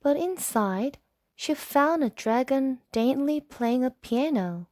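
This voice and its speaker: A woman gently and expressively reading a storybook aloud in a soft bedtime style. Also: sits high, expressive, takes it slowly, no echo, studio quality.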